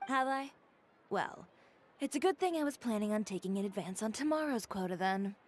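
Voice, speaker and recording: A woman's voice: medium pitch, animated, moderate pace, a little hiss or hum, natural voice. Woman voicing a confident young fashion designer, game dialogue, cool, dry delivery, blunt, background ambience. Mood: wry, self-assured. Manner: cool, dry, self-assured. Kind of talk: video game voice acting.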